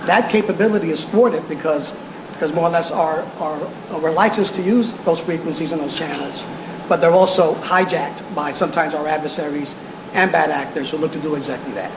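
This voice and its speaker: An echoey room with clear background noise surrounds a fairly high-voiced man. He is an official answering at a press conference, choosing formal words haltingly and often repeating himself.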